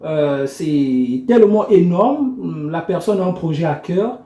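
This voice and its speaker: A man's voice: a little high, animated, slow, dark tone. A man talking reflectively in a faith discussion, hesitating and repeating words as he thinks. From Congo. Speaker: podcast panelist. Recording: roomy echo, faint music.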